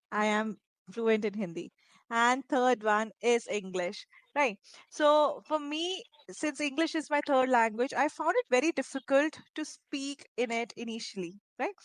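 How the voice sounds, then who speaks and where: Woman, Malayalam native, English tutor, encouraging, slightly high voice, dry recording. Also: no echo, rough recording. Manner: encouraging, talks in long flowing turns with "you know" and "right?". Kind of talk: one-on-one conversation lesson.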